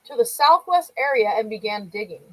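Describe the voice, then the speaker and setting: A woman's voice: a little high, lively intonation, fairly quick, fairly bright tone, rough recording. A woman co-hosting a casual livestream, reading a true-crime account aloud and laughing often while she greets viewers. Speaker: co-host.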